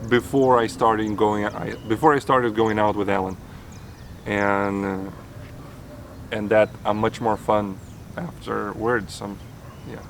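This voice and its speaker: A man's voice: lowish voice, gravelly, a bit bright, a little hiss or hum. A man talking casually in a vlog outdoors, rambling and restarting his sentences with chuckles. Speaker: vlogger. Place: outdoors, near a road.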